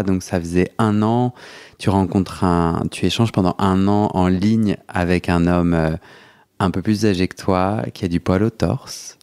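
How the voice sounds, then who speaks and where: A low-pitched man hosts an interview podcast, his voice dry and close with no room around it. He sums up his guest's story at length, often pausing on "euh" as he finds his words. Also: mellow, clear, resonant tone, no echo. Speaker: podcast host.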